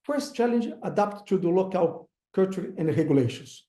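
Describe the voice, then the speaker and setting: A man with a Brazilian accent, Portuguese as his first language, giving a webinar talk as an investor, halting and pausing often with fillers. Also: sits high, lively intonation, warm tone, reverberant. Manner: halting, searching for words, frequent uh fillers. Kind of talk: webinar presentation.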